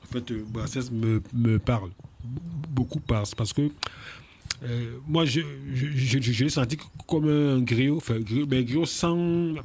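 A man's voice: medium pitch, measured pace, darkish tone, slight background noise. A man in his fifties with a Cameroonian French accent, a musician guest talking casually, often restarting and repeating words. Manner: hesitant, many restarts and repeats. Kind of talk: casual interview.

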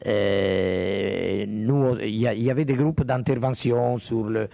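An older man with a background tells stories in a meandering, digressive way, often losing the thread and coming back to it. His voice sits a little low and has a gravelly edge. The sound is dry and close. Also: steady tone, takes it slowly, warm tone, dry recording. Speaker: guest. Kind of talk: reminiscing in conversation.

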